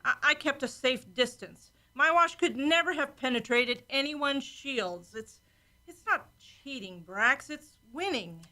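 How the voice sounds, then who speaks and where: A woman's voice: high voice, lively intonation, measured pace, sharp tone, exaggerated voice. A woman playing a feisty, competitive space officer in a science fiction radio play, challenging and insistent. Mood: defiant.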